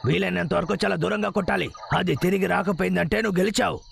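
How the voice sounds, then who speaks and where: A man voicing a boastful, know-it-all animal older brother with a gravelly voice in a children's cartoon. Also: high-pitched, steady tone, racing. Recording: loud cartoon music underneath.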